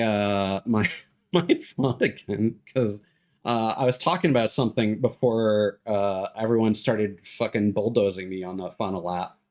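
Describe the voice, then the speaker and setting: A man giving casual, rambling, sweary commentary as he streams a video game. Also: on the low side. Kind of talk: casual gaming livestream commentary. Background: game music.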